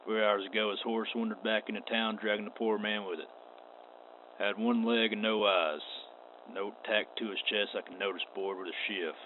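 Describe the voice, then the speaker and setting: An actor playing a stern, wary space western marshal in a radio play, with a terse, folksy drawl. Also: male voice, on the low side, fairly even intonation, moderate pace, natural voice. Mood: grim. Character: gruff, wary, stern male frontier marshal. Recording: tinny band-limited audio with clear noise.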